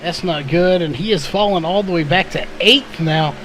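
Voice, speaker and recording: A man doing live race commentary in a conversational, analytical way, his voice a little hoarse. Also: sits high, normal speed, fairly bright tone, slight background noise, rough recording. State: slightly hoarse, losing his voice. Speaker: sim or short-track race play-by-play commentator.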